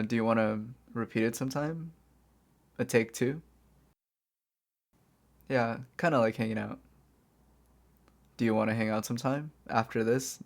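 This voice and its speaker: A soft-spoken villain trying to be good, played by a man, in an intimate ASMR roleplay. His delivery is very even and unhurried, with little rise or fall. He coaxes the listener casually and a little hesitantly, like a lonely kidnapper who wants a friend. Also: mid-range voice, lightly acted. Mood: shy, friendly. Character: reformed male supervillain kidnapper, lonely, apologetic, gently friendly. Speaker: ASMR audio performer.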